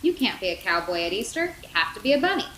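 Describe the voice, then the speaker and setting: A woman's voice: mid-range voice, sing-song, fast talker, sharp tone. A woman voicing an eager, bossy little girl in a storybook, exclaiming as she talks. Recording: roomy echo, background noise.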